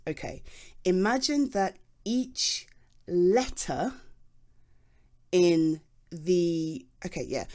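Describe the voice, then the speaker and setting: Flustered British geology nerd played by a woman, lowish voice. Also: mellow. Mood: flustered, thoughtful. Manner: rambling, hesitant, eager, informal explaining to a listener. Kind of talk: ASMR audio roleplay, conversational explaining.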